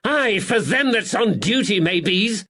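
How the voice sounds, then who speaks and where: A woman's voice: medium pitch, animated, takes it slowly, breathy edge, pitched above the performer's own voice, heavily acted. A shrieking, furious landlady. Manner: yelling, scolding. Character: furious shrill middle-aged landlady.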